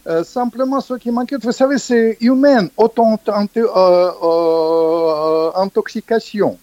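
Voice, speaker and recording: An older man talks in an emphatic, halting way, often restarting his sentences and jumping up in pitch when he stresses a point. The sound is dry and a little dull, with no room around it. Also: steady tone, a little mellow, dry recording. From Ukrainian background. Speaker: author guest. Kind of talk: interview, political commentary.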